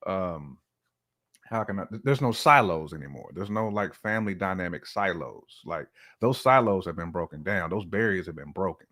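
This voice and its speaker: Low-voiced man chatting casually. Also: animated, moderate pace, sharp tone. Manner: informal, colloquial speech with slang. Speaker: podcast guest. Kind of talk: casual conversational opinion-sharing.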